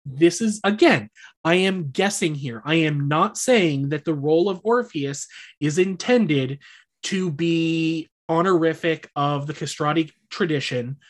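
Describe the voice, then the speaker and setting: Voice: mid-range voice, unhurried. A podcast co-host chatting casually about musical theater, hedging and qualifying each point. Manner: hedging, rambling, qualifies every claim.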